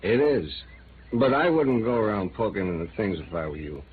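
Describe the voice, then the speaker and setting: A man's voice: lowish voice, very animated, moderate pace. An actor playing a world-weary, hard-drinking newspaperman in a 1950s radio noir drama, wry, slangy and guarded. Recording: tinny, hissy old radio recording.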